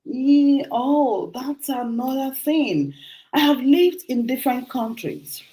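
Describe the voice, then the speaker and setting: A middle-aged woman from Nigeria, a guest talking casually about her life, animated and quick to laugh, often restarting her words. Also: sits high, rough recording. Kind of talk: casual interview talk.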